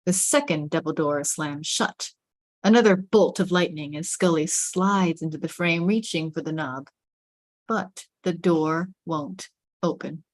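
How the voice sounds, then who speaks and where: A woman narrates a screenplay at a table read in a slightly low voice. The sound is dry and close, and she phrases the directions with suspense and emphasis. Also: unhurried, dry recording. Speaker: narrator reading stage directions.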